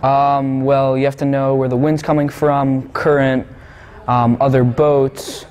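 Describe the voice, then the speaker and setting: A man's voice: mid-range voice, level tone, unhurried, a little mellow, a little hiss or hum. A teenage boy gives brief, plain answers about sailing. He is recorded indoors against a plain wall, with a clean background. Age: teens. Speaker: student sailor. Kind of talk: interview answers.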